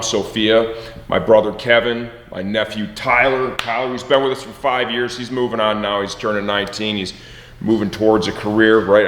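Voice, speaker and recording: An upbeat middle-aged man with a slightly low voice chats and jokes with his son. He keeps asking him leading questions. He is recorded from a distance in a big garage, with a long, roomy echo. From Michigan, USA. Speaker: host, team owner and father. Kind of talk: casual chat with his son. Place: large garage workshop indoors. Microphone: far camera, no mic seen. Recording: echoey, roomy garage sound.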